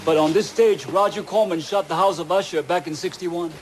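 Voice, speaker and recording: Man as easygoing property owner, high male voice, casual obliging talk, audio drama, faint music. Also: brisk, close to an everyday voice. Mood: casual, informative. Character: easygoing male owner of rundown film studio. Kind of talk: crime audio drama dialogue.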